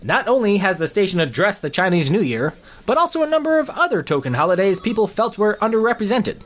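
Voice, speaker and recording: Man playing a high-voiced, theatrical news anchor. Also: big pitch swings, quick pace, fairly bright tone. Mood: dry, mock-serious. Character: male small-town TV news anchor, dryly officious. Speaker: local news anchor reading viewer letters. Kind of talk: parody newscast, scripted comedy.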